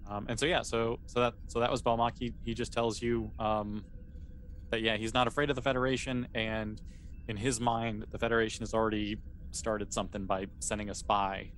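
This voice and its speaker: A man's voice: on the low side, flat delivery, a little fast, fairly bright tone, slight background noise. A man narrating an improvised tabletop game as game master in a conversational, explanatory way.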